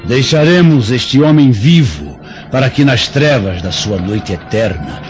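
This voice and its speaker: Líder rebelde vingativo, voz bem variada. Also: male voice, medium pitch, very animated, measured pace. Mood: vengeful, solemn. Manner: grandiloquent, theatrical declamation. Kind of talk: radio drama acting. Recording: dull radio-drama recording, faint music behind.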